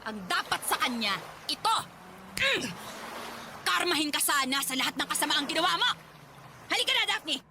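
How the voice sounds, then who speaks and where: Furious, high-voiced Filipino mother. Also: big pitch swings, moderate pace, long pauses, background noise. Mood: enraged. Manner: scolding, confrontational, exclamatory. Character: furious, fiercely protective middle-aged Filipino mother. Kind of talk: radio drama acting.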